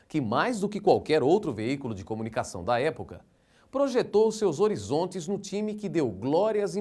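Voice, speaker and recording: Lively, higher-pitched Brazilian male narrator. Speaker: documentary narrator. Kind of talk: scripted sports-radio narration.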